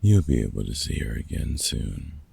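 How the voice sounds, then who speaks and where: Man playing a very deep, gravelly old vampire hunter. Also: big pitch swings, moderate pace, warm tone, exaggerated voice. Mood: fond, encouraging. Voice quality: very gravelly, husky. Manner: dry, teasing, hesitant with unfamiliar slang. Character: gruff, wry older male vampire hunter, fatherly mentor. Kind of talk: ASMR roleplay audio drama, one-sided conversation.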